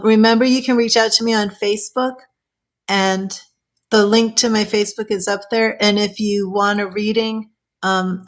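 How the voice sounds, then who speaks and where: A woman reading tarot cards on a live stream. She chats casually and thinks aloud, and her voice is getting worn and a little hoarse so she keeps clearing her throat. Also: mid-range voice. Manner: chatty, thinking aloud, with small laughs. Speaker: tarot reader.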